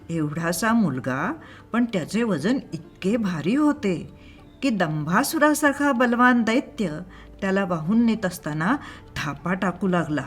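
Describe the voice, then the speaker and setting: A woman narrating a scripted moral story in a measured, expressive storytelling manner. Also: mid-range voice. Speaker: storyteller and channel host.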